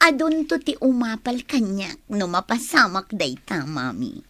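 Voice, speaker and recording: An actress playing a vain, easily annoyed young office worker in a radio drama, with a haughty, whiny delivery. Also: female voice, on the high side, animated, slow pace, a bit bright, no room sound, exaggerated voice. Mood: smug.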